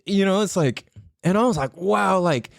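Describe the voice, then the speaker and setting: Male podcast host, fairly high voice, swears freely and repeats words for emphasis. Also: moderate pace, gravelly, dry recording, studio quality. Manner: excitable, laughs often. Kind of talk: casual solo podcast monologue.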